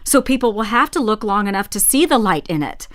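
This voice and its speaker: A woman's voice: medium pitch, very animated, brisk, natural voice. A woman playing a blunt, ambitious young widowed painter of the 1860s in a naturalistic audio drama. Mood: proud, absorbed. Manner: blunt, clipped, self-absorbed.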